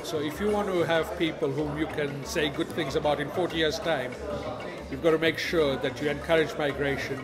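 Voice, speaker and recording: An older man from Zimbabwe, in his sixties, talks in a fairly high voice for an interview. A loud crowd chatters around him. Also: steady tone, normal speed. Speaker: retired local councillor. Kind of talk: informal event interview. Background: loud music, busy crowd.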